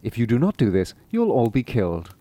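A man voicing a clever, scheming talking cat in boots, bossy with peasants and flattering to a sorcerer. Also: medium pitch, brisk. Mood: commanding. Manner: bold, coaxing, commanding.

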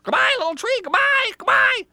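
Storybook female jaybird played by a man: very high, squawky, shrill, frantic and loud. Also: lively intonation, brisk, no room sound, studio quality, heavily acted. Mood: panicked farewell.

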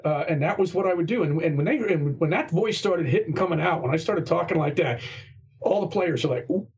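A man in a casual podcast chat about running tabletop games, rambling with frequent restarts and repeated words in a husky voice. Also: a little high, lively intonation, a little fast, a little mellow, highs cut off. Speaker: podcast guest or co-host.

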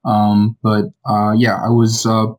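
A man's voice: on the low side, monotone, takes it slowly. A man speaking as a podcast guest, hesitant and full of ums and restarts. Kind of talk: casual podcast conversation.

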